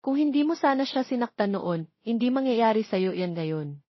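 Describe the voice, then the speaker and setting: A woman's voice: a little high, lively intonation, normal speed, dry recording. A woman voicing a stern older mother, serious and reproachful, in an audio story. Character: stern older mother scolding her grown son. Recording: dull lo-fi audio, very dry.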